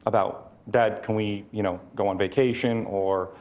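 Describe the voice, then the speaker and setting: Low, halting man in interview. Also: fairly even intonation, a little mellow, lo-fi sound. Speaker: air traffic controller being interviewed. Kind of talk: unscripted interview answer.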